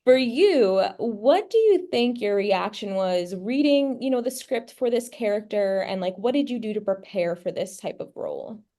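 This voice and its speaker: A woman's voice: mid-range voice, lively intonation, normal speed, few pauses, warm tone. A woman interviewer asking questions in a casual, upbeat and encouraging manner.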